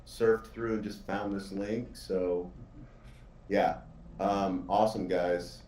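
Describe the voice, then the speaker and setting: A man chats casually as a guest, in a fairly low voice. He sounds away from the mic in an echoey room, with faint noise. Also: little pitch movement, slow, a little mellow, recorded from a distance. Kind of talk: casual chat.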